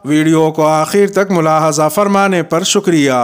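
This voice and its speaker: A man presenting scripted news as host, in a formal, measured bulletin manner. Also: fairly high pitch, fairly even intonation, clear, resonant tone.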